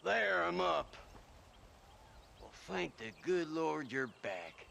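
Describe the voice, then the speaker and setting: An actor playing a lazy, wily old ranch hand in a western game, grumbling in a folksy, creaky American drawl. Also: male voice, fairly high pitch, sing-song, takes it slowly, sharp tone, slight background noise, heavily acted. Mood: grumbling, wheedling. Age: older. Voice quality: creaky, gravelly. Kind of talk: western video game voice acting.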